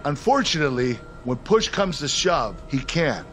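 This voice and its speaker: A man's voice: fairly high pitch, very animated, normal speed, fairly bright tone, a little hiss or hum. An actor playing a sympathetic but resigned male boss of a wrestling show in a game cutscene. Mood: resigned, frustrated. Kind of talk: video game cutscene acting.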